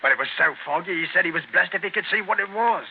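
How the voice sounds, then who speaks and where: A man's voice: sits high, racing, gravelly, slightly rough, full character voice. An actor playing an obliging, plain-spoken railway man in a 1930s radio drama, deferential and folksy. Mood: matter-of-fact. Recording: scratchy, tinny 1930s radio recording.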